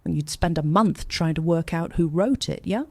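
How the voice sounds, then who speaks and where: A sharp-tongued woman investigator in an audio drama. Her tone is soft-edged and mellow, in a very dry recording. She teases and needles with sweary sarcasm. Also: lowish voice, moderate pace, dry recording. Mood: teasing, businesslike. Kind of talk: naturalistic audio drama acting.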